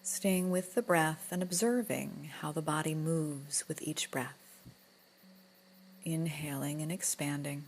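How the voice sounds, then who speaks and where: A woman guiding a relaxation meditation in a gentle, soothing, unhurried manner, with soft breathy moments. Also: low-pitched, a little hiss or hum. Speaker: yoga nidra guide.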